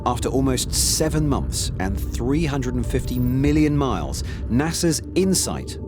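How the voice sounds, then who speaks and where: Lively male TV presenter over music. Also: mid-range voice, measured pace. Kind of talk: scripted TV technology magazine narration.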